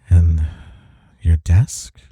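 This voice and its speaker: A man acting a deep, husky, smitten office rival. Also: warm tone, no echo, full character voice. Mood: sultry, tender. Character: flustered, smitten male office rival confessing attraction. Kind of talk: intimate romantic audio roleplay acting.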